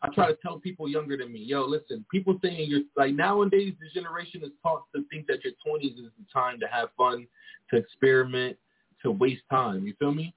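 A man's voice: medium pitch, fairly quick, husky. A man in his twenties talking casually and at length, often checking in with "you feel me". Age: 20s. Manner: rambling, frequent "you feel me". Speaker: guest. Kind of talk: casual chat. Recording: tinny phone-like sound.

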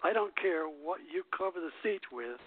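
An older man hosting a radio show, reading quotes and chatting, sometimes halting as he reads aloud. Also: a little high, expressive, a little hiss or hum. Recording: muffled phone-like radio line.

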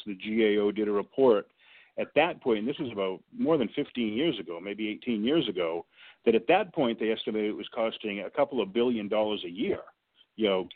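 A man calling in to a talk show as a guest and making his case emphatically, with sports comparisons. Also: on the low side. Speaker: guest advocacy director. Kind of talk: phone-in radio interview. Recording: tinny phone line.

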